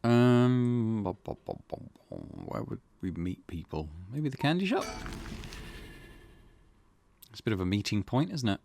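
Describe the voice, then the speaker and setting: A man's voice: medium pitch, lively intonation, a little slow, long pauses, dark tone, a little hiss or hum. A man hosting a casual game playthrough, talking through his choices. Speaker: gaming channel host. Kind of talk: game playthrough reading dialogue aloud, casual.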